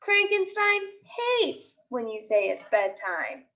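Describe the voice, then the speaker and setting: A woman teacher reading aloud to young children in an expressive storytelling way, with deliberate pauses. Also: sits high, highs cut off. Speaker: elementary school teacher.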